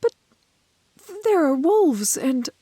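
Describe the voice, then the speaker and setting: A woman playing a gentle, curious young village maiden in a romantic fantasy audio roleplay, with a soft, breathy voice and halting, old-fashioned polite phrasing. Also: sits high, big pitch swings, no echo, clean studio sound, exaggerated voice. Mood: pleading, alarmed.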